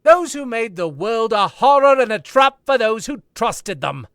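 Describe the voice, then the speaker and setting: A man voices a cultured, coldly accusing author in a radio play. His voice sits very high and bright, moves over a wide range, and is recorded dry and close. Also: sing-song, dry recording, heavily acted. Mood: grave. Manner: measured, scornful, rising to shouting fury. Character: sophisticated male author, cold and accusing. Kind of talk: 1940s radio acting.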